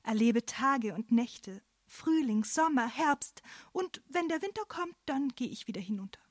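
A woman playing a knowing, amused talking plant tuber in a children's radio play, with a playful lilt and husky voice. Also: on the high side, expressive, unhurried, no room sound, clean studio sound.

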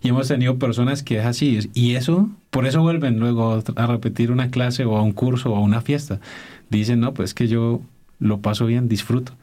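A man with a soft-edged, mellow voice at an average pitch. He explains things in casual interview talk, often hesitating as he looks for the right words. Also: steady tone, brisk. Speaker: dance instructor, interview guest.

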